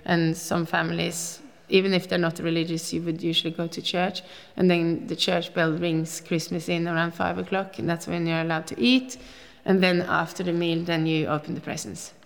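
A woman in her fifties with a Norwegian accent talks in a rather low voice. She chats freely in a casual interview and rambles through her recollections. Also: level tone, moderate pace, gravelly, few pauses. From Norway. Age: 50s. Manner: chatty, rambling with restarts and laughs.

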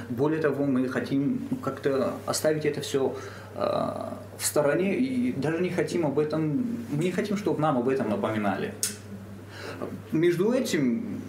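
A man, a theatre actor, speaking earnestly and deliberately in a panel discussion, pausing with hesitations. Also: a little high. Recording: echoey room, faint background hum.

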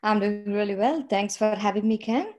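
A woman's voice: medium pitch, normal speed, a little mellow. A woman, a filmmaker guest talking freely with frequent fillers and small laughs. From India. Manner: chatty, with frequent fillers and light laughter between remarks. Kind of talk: conversational interview.

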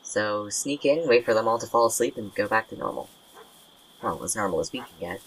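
A woman playing a tired, guarded young friend with wry, deadpan humour in an audio drama. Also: extremely low pitch, a little fast, a little hiss or hum, poor audio. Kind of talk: naturalistic audio drama acting.

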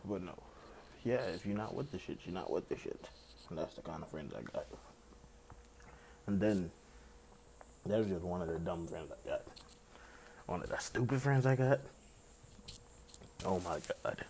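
Raspy young male podcast host, very low and gravelly, laid-back rambling delivery. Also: animated, a little slow, long pauses, darkish tone, slight background noise, rough recording. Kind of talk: casual solo podcast chat.

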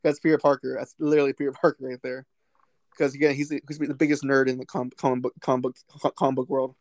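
A man co-hosting a podcast, talking excitedly about a film in a rambling way, with many restarts and fillers. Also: medium pitch, quick pace, no echo. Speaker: podcast co-host. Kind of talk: casual movie discussion.

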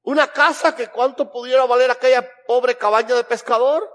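Fervent Cuban preacher with a high voice. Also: male voice, fairly even intonation, normal speed, a bit bright. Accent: Cuban Spanish. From Cuba. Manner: impassioned, rising rhetorical questions. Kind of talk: evangelistic sermon with altar call.

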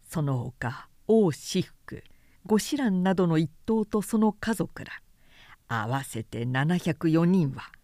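A woman narrator giving a measured, dramatic reading of scripted historical literature. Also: fairly low pitch, mellow, dry recording, clean studio sound.

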